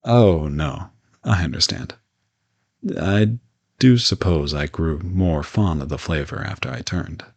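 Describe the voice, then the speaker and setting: Male actor as a courteous vampire, deep creaky voice, reassuring, playful, intimate audio roleplay. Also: slow pace, full character voice. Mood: conversational, friendly. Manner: soft-spoken reassurance, politely formal with teasing asides.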